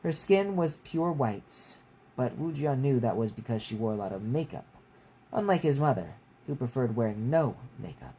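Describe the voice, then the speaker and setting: A man's voice: medium pitch, expressive, normal speed, dark tone, poor audio. Male author reading a story aloud. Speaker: author reading own book. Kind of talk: story narration with casual asides. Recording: clear background noise.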